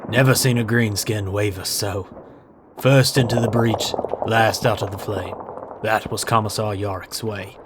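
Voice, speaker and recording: A man playing a grim, weary veteran soldier in a dramatic reading, solemn and slow. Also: fairly low pitch, steady tone, close to an everyday voice. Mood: grim, reverent. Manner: slow, solemn, sighing delivery. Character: grim, weary veteran soldier named Martin, stubborn and reverent. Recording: artillery fire and battle noise behind.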